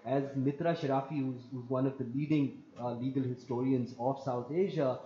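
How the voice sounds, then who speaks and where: A man from Pakistan, speaking on an online panel in a measured, lecturing way and repeating phrases for emphasis. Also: mid-range voice, steady tone, dark tone, slight background noise. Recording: muffled lo-fi audio.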